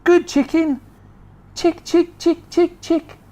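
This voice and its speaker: A reader voicing George, a calm and earnest boy in a storybook. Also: very high voice, takes it slowly, voice pushed up.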